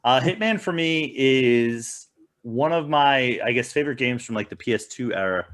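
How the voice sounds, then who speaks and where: A man's voice: mid-range voice, animated, normal speed, a bit bright. A man giving casual opinions as a podcast panelist, in a rambling, hedging way. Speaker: podcast panelist reviewing films.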